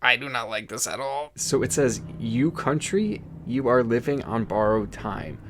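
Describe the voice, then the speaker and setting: A man whose first language is Igbo, hosting a casual vlog in an excitable, swearing, often disbelieving way. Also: mid-range voice, measured pace, slight background noise. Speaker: YouTube host.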